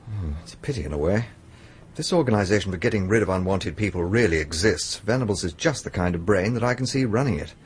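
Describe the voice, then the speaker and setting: An actor with a British accent playing an earnest, worried, persistent amateur investigator in a radio drama. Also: male voice, low-pitched, animated, fast, a little hiss or hum. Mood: intent, persistent. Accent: British English.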